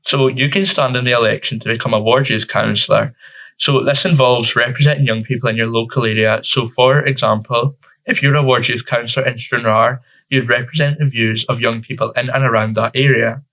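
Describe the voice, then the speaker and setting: Teenage Scottish lad, flat delivery. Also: male voice, medium pitch, brisk, poor audio. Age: teens. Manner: relaxed, informal with frequent fillers. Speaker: youth councillor guest. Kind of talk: casual interview answers.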